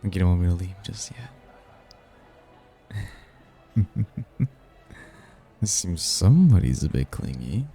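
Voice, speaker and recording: A man playing a suave, seductive male demon in an intimate ASMR roleplay, teasing and flirtatious, with a husky, gravelly murmur. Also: sing-song, warm tone, heavily acted. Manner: slow, flirtatious, breathy murmurs with low chuckles.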